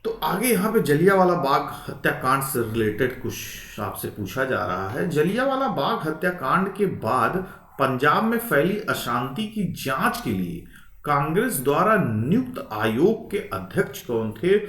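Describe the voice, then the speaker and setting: A man's voice: fairly high pitch, big pitch swings, unhurried, a bit bright. A man giving an informal exam-prep lecture, repeating key points and checking in with rising tags. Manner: instructive, repetitive, frequent rising check-in tags. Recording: echoey room, distant microphone.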